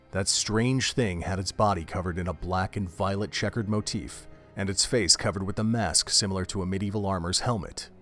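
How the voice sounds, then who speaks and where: A man narrating a scripted audio drama in a measured, descriptive storytelling manner. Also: on the low side.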